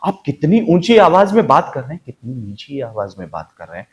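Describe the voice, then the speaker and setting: A man's voice: mid-range voice, big pitch swings, fairly quick. An Indian-accented man speaking as an acting coach, giving an instructional talk in an emphatic way with repeated, parallel phrases. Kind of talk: instructional talk to viewers, demonstrating voice techniques.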